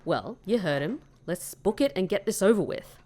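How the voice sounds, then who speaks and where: A woman's voice: medium pitch, animated, normal speed, very noisy, lightly acted. An actress playing a skeptical, blunt female undercover operative in an audio drama, with a dry, sardonic delivery. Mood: brisk, wary.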